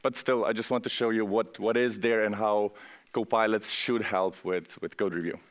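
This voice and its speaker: A man's voice: lowish voice, steady tone, moderate pace. A man with a Slovenian accent presenting at a conference in an informal, conversational way, speaking directly to the audience. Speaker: conference speaker, software architect. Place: conference session room, on stage.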